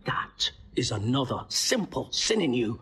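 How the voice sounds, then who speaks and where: Witty jester, mocking, husky, very animated delivery, Shakespearean comedy. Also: male voice, mid-range voice, unhurried, exaggerated voice. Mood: mock-outraged. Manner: theatrical, mocking, wordy. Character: witty, mocking male court jester, quick and scornful. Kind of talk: Shakespearean stage comedy acting.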